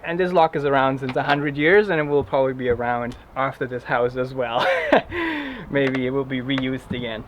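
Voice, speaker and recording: A man from Germany with a German accent, English his second language, giving a casual halting tour of his tiny house with many fillers. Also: medium pitch, big pitch swings, noisy background. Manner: halting, many fillers and restarts, laughs often. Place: small wooden tiny house, indoors and just outside.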